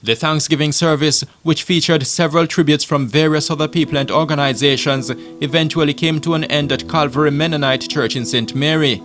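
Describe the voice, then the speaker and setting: A man reading a scripted news report in a measured, even way. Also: a little high, faint music behind. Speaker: news narrator.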